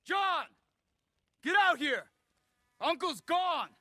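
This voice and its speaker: A woman playing a feisty, sharp-tongued frontier wife in a video game cutscene. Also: very high-pitched, lively intonation, very slow, heavily acted. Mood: urgent.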